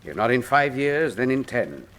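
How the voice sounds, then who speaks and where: An actor playing a brilliant, wry detective in a radio drama, articulate and theatrical, with a British accent. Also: male voice, medium pitch, very animated, normal speed, fairly bright tone. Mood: grave, stirring. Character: cool, brilliant male detective, wry and patriotic.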